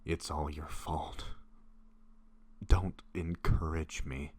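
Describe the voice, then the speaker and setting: Man playing a very deep, hesitant gentle vampire. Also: fairly even intonation, slight background noise. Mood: strained, tempted. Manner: hesitant, breathy murmurs, halting phrases. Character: gentle, apologetic lovestruck young male vampire, shyly hungry. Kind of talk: intimate audio roleplay.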